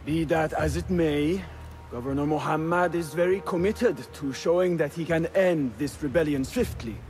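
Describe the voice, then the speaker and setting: An actor playing a guarded, formal political envoy in a video game cutscene. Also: male voice, a little high, normal speed, darkish tone, soft background music, close to an everyday voice. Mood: firm warning. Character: cautious male political envoy warning rebel allies.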